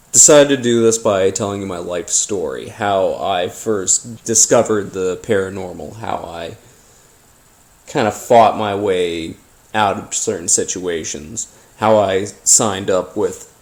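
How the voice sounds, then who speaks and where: A man hosting a paranormal podcast, telling his story informally in a halting, rambling, conspiratorial way. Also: fairly low pitch. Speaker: podcast host. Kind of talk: informal first-person storytelling monologue, paranormal audio drama.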